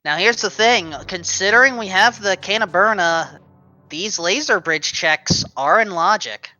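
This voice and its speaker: A woman's voice: mid-range voice, measured pace, sharp tone, muffled recording, soft background music. A woman doing casual, playful commentary on a video game race, explaining the game's logic and teasing her co-commentator. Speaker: speedrun commentator.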